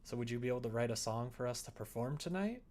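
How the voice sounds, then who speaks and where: Male reader as earnest visual-novel lead, plain mid-pitched voice, mild, polite and apologetic. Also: lively intonation, quick pace, darkish tone, close to an everyday voice. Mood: gentle.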